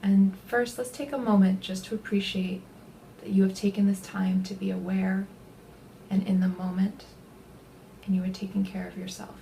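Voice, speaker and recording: A woman leading a guided body scan meditation, speaking gently and unhurriedly in a soothing way, with soft, breathy phrase endings. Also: mid-range voice, flat delivery, moderate pace, slight background noise, poor audio.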